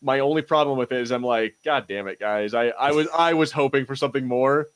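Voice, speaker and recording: A man's voice: mid-range voice, fairly quick. A man hosting a podcast, chatting casually about TV shows in an informal way with some mild swearing. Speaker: podcast host.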